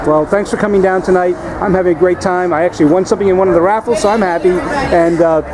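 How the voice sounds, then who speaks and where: A man doing a casual, chatty interview at an event, speaking haltingly. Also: high voice, fairly even intonation. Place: busy pub. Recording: loud music and crowd in a noisy pub.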